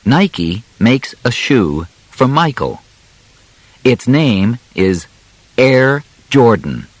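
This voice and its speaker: A man narrating an audiobook from a simple script, deliberate and carefully enunciated, in short phrases with pauses between them. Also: mid-range voice, very animated, dry recording.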